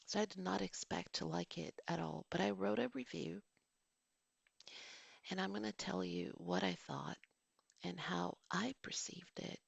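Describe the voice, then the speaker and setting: Gravelly husky woman reading a review. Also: fairly low pitch, a little slow, no echo, lo-fi sound. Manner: digressive, reads formal sentences then drifts into casual remarks. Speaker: vlogger and teacher. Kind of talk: reading a written movie review with chatty asides. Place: home.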